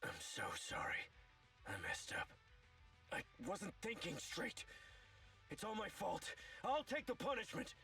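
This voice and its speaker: An actor playing a cowering, guilty underling of a gang boss in a video game, stammering and pleading, a little distant from the mic. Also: male voice, sits high, animated, measured pace, vocal fry, long pauses, sharp tone, soft background music, heavily acted. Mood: cowering, remorseful.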